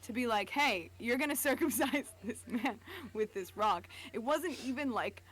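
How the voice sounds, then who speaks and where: A woman's voice: on the high side, animated, normal speed, fairly bright tone. A woman co-hosting a casual livestream, chatting in a joking, giggly, profane way. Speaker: stream co-host.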